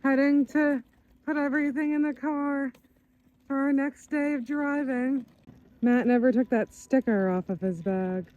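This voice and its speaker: A young woman from the US East Coast, narrating a casual travel vlog in a chatty, upbeat way with lots of "like". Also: high-pitched. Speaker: vlogger. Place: inside a car on a road trip.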